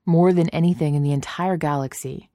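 A woman's voice: low-pitched, fairly even intonation, a little fast, warm tone, dry recording, lightly acted. A woman reading a story aloud, voicing a flustered, guilty young man in her own voice.

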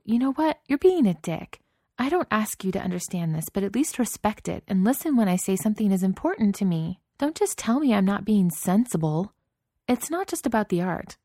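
A woman's voice: medium pitch, moderate pace, a little mellow, no echo, studio quality, voice pushed up, lightly acted. A woman voicing a frustrated young woman artist who speaks in an impassioned, raised voice.

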